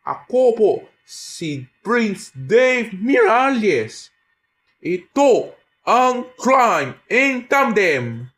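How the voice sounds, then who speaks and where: A man with a fairly high voice hosts a crime program on the radio. He narrates very slowly and dramatically, stretching out his scripted phrases. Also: big pitch swings, fairly bright tone. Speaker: crime show host.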